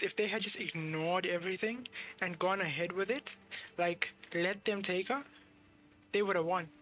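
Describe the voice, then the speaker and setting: A man's voice: high voice, a bit bright. A man streaming a game, giving casual, informal commentary as he thinks aloud.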